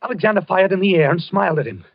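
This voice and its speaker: An actor in an old-time radio drama playing a tormented, obsessive husband who talks haltingly and repeats himself. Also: male voice, high voice, very animated, vocal fry, dry recording, full character voice. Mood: feverish, bitter. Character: tormented husband haunted by dreams of a duel. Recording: muffled vintage radio recording, dry.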